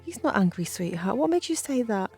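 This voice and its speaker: Woman acting a coaxing, concerned mother, raised pitch. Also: big pitch swings, brisk, a little mellow, husky, faint music behind. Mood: incredulous. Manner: coaxing, questioning. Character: concerned mother of a small boy, coaxing and incredulous. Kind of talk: acted dialogue in a narrated horror story.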